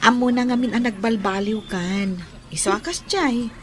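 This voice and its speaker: A woman's voice: fairly high pitch, moderate pace, natural voice. A woman playing a tender young unmarried woman being courted, in Ilocano radio drama style with an Ilocano Filipino accent. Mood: gently questioning.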